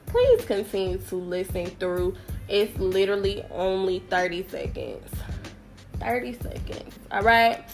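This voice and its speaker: A woman's voice: mid-range voice, very animated, unhurried, faint music behind. A young woman hosting her podcast alone, speaking in a nasal voice while sick with a summer cold, chatty and playful with self-deprecating, slangy asides. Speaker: podcast host. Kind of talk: casual solo podcast chat.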